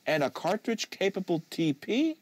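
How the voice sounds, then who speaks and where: Man playing an eager, high-pitched teenage boy quizmaster. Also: very animated, normal speed, dry recording. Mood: eager, quizzing. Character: eager male teenage tennis-academy student quizzing his teammates. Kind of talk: acted dialogue in a novel reading.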